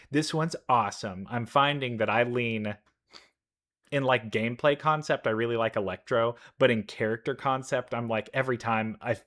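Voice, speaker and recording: A man giving casual, enthusiastic reaction commentary, halting as he thinks aloud. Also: medium pitch, fairly bright tone. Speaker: reaction video host, gamer.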